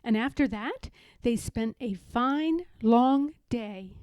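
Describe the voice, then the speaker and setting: A woman's voice: a little high, animated, slow, a little mellow, smooth, dry recording, studio quality. A woman reading a children's storybook aloud as the storyteller.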